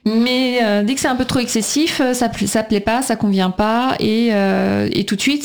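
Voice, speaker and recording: A woman's voice: medium pitch, a little mellow. A woman talking conversationally as a radio guest, emphatic and talkative, often voicing what other people say. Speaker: radio guest, preschool teacher and mother.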